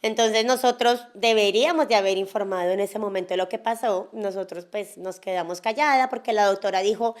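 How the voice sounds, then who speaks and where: A Colombian woman from Cali speaks as a podcast guest, her voice sitting at an ordinary middle pitch. She tells her own story frankly and casually, drifting through fillers and small asides. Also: brisk, hardly any pauses. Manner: frank, relaxed, many fillers and little asides. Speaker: interview guest.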